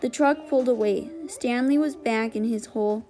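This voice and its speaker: A woman's voice: on the high side, normal speed, mellow. A woman reading a storybook aloud as scripted narration. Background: music.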